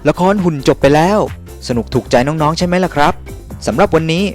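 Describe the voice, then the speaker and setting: A man's voice: fairly high pitch, animated, fast. A man presenting a children's television show, speaking to young viewers over music. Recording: music behind the voice.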